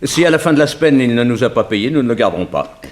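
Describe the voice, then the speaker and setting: Man playing a brisk, polite hotel manager. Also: medium pitch, animated, close to an everyday voice. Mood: businesslike. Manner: formal, businesslike, helpful. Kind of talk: 1960s French radio drama acting.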